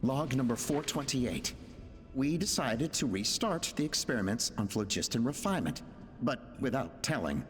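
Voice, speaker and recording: A man's voice: mid-range voice, very animated, normal speed, close to an everyday voice. An actor voicing a grave, driven male researcher dictating experiment logs in a video game, in a reverberant space over music. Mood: determined. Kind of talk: dramatic game log narration. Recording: long hall reverb, faint music.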